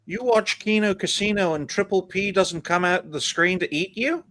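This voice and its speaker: A man's voice: high-pitched, moderate pace, a bit bright. A man hosting a casual podcast panel who makes irreverent jokes and steers the talk between guests. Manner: irreverent, joking, leads the talk. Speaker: podcast host.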